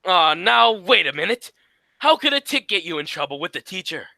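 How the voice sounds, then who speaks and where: A man's voice: high-pitched, big pitch swings, moderate pace, gravelly, pushed and bright, dry recording, full character voice. A man playing the exasperated, scolding straight man of an old radio comedy duo, in a 1940s radio acting style. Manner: scolding, insistent.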